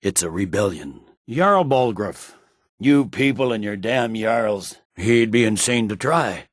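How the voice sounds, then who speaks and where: This is a stern male general from a fantasy video game. His voice is deep and recorded very dry. He speaks in a clipped, formal, commanding way, like a weary officer used to being obeyed. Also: expressive, a little slow, dry recording. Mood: exasperated. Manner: authoritative, clipped, brusque, formal. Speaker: military commander. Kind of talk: scripted video game dialogue.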